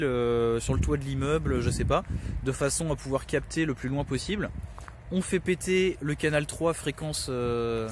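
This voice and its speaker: A man's voice: a little high, noisy background. A man hosting his own channel, giving casual spoken announcements while on the road, hesitant and full of filler sounds. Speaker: survivalist channel host. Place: in a vehicle on the road.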